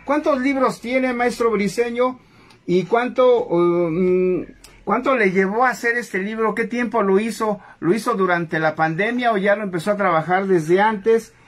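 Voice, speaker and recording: A man's voice: high-pitched, unhurried. A middle-aged man hosting a casual interview in a cluttered home studio, eager and dropping English fillers. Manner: enthusiastic, mixes English fillers. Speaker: host.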